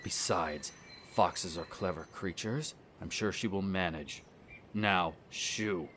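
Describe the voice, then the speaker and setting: A man's voice: deep voice, slow, a bit bright, a little hiss or hum. A man playing an irritable aristocratic vampire in a roleplay audio drama, speaking formally with sneering condescension toward humans. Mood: irritated, dismissive. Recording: nature ambience with birdsong behind. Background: birdsong and garden ambience.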